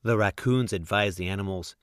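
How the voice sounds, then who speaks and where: A man reading a children's picture book aloud as a guest storyteller, expressively and with deliberate, emphatic phrasing. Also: on the low side, dry recording, studio quality.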